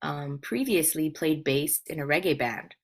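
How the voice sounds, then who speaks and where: Low-pitched American woman chatting. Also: lively intonation, moderate pace. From Hawaii, United States. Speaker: interview guest. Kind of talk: casual conversational storytelling.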